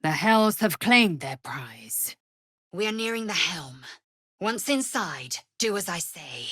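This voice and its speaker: A fierce young alien warrior woman from a fantasy video game, low and measured. She speaks in clipped, formal, commanding phrases with haughty authority. The voice has a husky rasp and sounds dry and close. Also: big pitch swings, fairly bright tone, dry recording, heavily acted. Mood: haughty, disdainful. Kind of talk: video game character voice lines.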